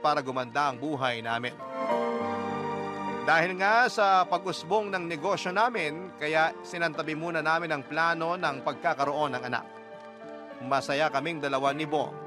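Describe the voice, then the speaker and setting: A man with a Filipino accent telling a personal story as a radio storyteller, in dramatic narration. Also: a little high. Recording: music bed under narration. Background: background music.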